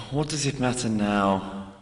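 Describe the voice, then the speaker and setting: A man's voice: fairly low pitch, slow. A man playing an exasperated, sardonic college professor in an audio drama. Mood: resigned, bitter. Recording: echoey hall, distant from the mic.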